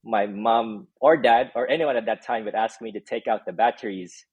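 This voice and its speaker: A man's voice: on the low side, normal speed, husky, no room sound, narrow, tinny audio. A man from the Philippines, a startup co-founder telling his story as a guest in a casual interview, with many "um" fillers. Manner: many "um" fillers, laughs while telling stories.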